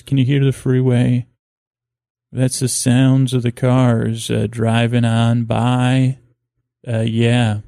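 A man hosting a sleep podcast, rambling in an improvised, halting way, often trailing off, with low-key, self-deprecating drollness. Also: gravelly, dark tone, no room sound, clean studio sound. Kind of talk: rambling, improvised descriptive monologue. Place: hotel room by a window.

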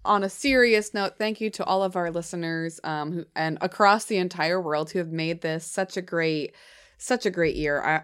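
A woman's voice: deep voice, clean tone. Giggly tired New Hampshire woman. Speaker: podcast co-host. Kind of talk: casual chat.

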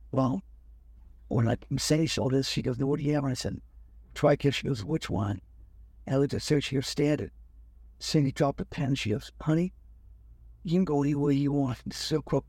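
A man's voice: mid-range voice, creaky, slightly rough, no echo. An older man, a guest, telling his story in an interview and animatedly acting out what others said. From United States. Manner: animated, acts out other people's lines.

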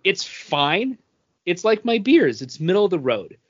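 A man's voice: sits high, big pitch swings, a little slow, husky, muffled recording. A man chatting casually as a co-host on a podcast. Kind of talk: casual chat.

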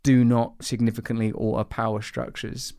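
A male interviewer poses long, formally phrased questions, pausing to search for the right words. His voice is low and warm with a smooth, resonant tone, recorded dry and close. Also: little pitch movement, no room sound.

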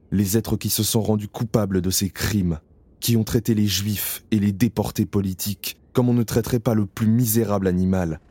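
An actor playing a haunted male camp survivor in a radio drama, speaking in a slow, solemn way. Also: fairly low pitch, dark tone, breathy edge, no room sound. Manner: slow, solemn, measured delivery. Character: adult man, camp survivor, haunted and grave. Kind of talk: radio drama first-person narration. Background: music.

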